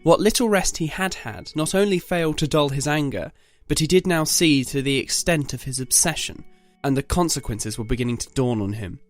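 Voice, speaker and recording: Male story narrator over soft music. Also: on the high side, animated, a little fast, few pauses. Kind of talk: scripted dramatic story narration.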